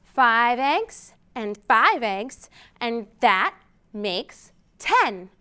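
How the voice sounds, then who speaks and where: A woman's voice: on the high side, big pitch swings, extremely slow, pushed and bright, smooth. A woman hosting a storytime for young children, reading aloud expressively and prompting them with simple questions. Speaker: children's storytime host at a library.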